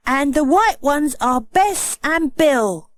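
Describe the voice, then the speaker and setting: Lady doing a eager little kid boy for a storybook, really high, slowish and drawn out. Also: lively intonation, no room sound. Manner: eager, childlike. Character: eager, bright young boy in a children's story.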